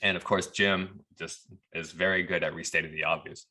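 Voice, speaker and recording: A man from Texas tells a story on a podcast, loose and conversational. His voice sits low but has a bright, forward edge to it. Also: normal speed. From Texas, USA. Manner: relaxed, chatty, laughs at the absurd parts. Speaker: podcast guest. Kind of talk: casual storytelling.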